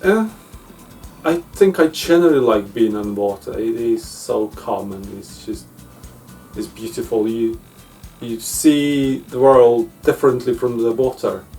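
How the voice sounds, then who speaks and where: A man's voice: medium pitch, a little slow, a little mellow. A man from Ukraine, chatting casually in an interview in an easygoing way. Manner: easygoing, with frequent "uh" and non-native grammar. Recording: distant mic with faint music.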